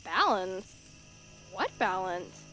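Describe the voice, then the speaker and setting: Woman playing a wry, lively female soldier. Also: medium pitch, very slow, soft background music, full character voice, poor audio. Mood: teasing. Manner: teasing, skeptical. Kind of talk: fan audio drama acting.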